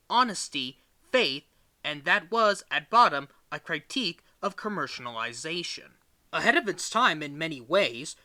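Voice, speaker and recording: A man reading a book aloud as a narrator, with emphatic, expressive delivery. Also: high voice. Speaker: reader, narrator.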